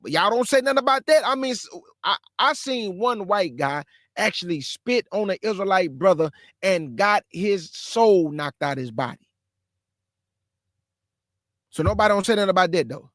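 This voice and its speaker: Male host with a high voice and dry sound, scolds in slang while reacting to clips. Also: expressive, normal speed, fairly bright tone, no echo. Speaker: show host. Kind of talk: reaction commentary.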